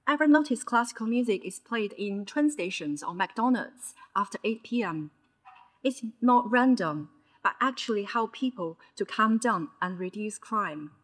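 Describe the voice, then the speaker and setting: A woman's voice: medium pitch, expressive, a bit bright. Chinese woman w/ a bit of scouse in her accent, 30s, giving a talk in short careful phrases. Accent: Chinese with a Liverpool (Scouse) tinge. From mainland China. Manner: measured, phrase-by-phrase delivery with short pauses. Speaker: conference presenter. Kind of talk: personal storytelling talk.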